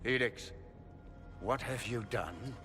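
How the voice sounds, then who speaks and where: An actor playing a proud, scheming older magister in a video game cutscene, pompous and menacing. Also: male voice, mid-range voice, takes it slowly, lightly acted. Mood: guarded. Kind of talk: dramatic game cutscene acting. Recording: long reverberant hall, music underneath.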